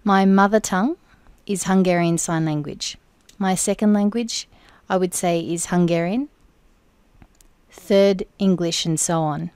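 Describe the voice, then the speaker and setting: A woman interpreting for an interview guest in the first person, speaking in measured, deliberate phrases. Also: fairly low pitch, darkish tone, smooth, no room sound. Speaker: sign language interpreter voicing the guest.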